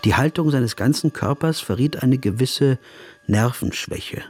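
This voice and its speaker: An actor playing a reflective, observant traveller, narrating his story in a measured literary style for a radio play. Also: mid-range voice, darkish tone, lightly acted. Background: music.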